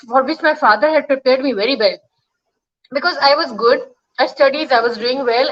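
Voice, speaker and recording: A woman in her fifties from India joins the discussion as a guest over a video call. Her voice is high, and she speaks in a measured way, pausing with fillers. The call audio comes through dull and lo-fi. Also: a bit bright. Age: 50s.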